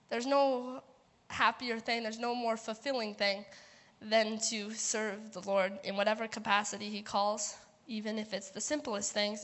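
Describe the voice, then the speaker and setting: A woman's voice: fairly high pitch, a bit bright. A woman closing a personal testimony, earnestly reading scripture and quotes aloud. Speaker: student giving a testimony. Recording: long hall reverb.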